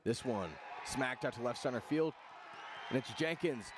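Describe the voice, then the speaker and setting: A man's voice: medium pitch, normal speed, noisy background. A man calling live baseball play-by-play on the radio in clipped phrases, following the action as it unfolds. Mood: excited.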